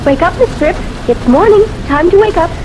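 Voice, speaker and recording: A woman's voice: very high voice, lively intonation, brisk, background noise. An actress playing a brazen, manipulative girl intruder, breezy, taunting and bossy in a radio mystery drama. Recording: old radio broadcast with music behind.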